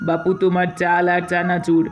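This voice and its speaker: Woman doing a male tiger shopkeeper, lowish pitch, greedy, sly, brusque, cartoon villain, light music. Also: completely flat delivery, fairly quick, lightly acted. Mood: brusque, dismissive.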